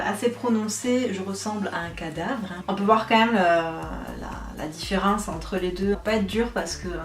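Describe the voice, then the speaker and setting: A young woman giving a casual makeup tutorial, chatty and self-mocking, with frequent fillers. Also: mid-range voice. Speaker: beauty vlogger. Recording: echoey room, voice a bit far from mic, faint music.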